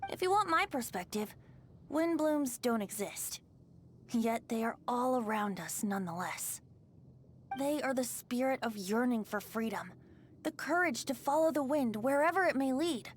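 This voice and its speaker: A woman's voice: fairly high pitch, animated, normal speed, a little hiss or hum, natural voice. A woman voicing a playful, wistful young male bard in a video game, with a teasing, lyrical delivery. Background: music.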